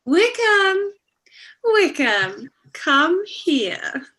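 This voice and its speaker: A woman's voice: very high voice, big pitch swings, extremely slow, sharp tone, full character voice. An actress playing a giddy, flighty teenage girl calling out across a room in a radio play.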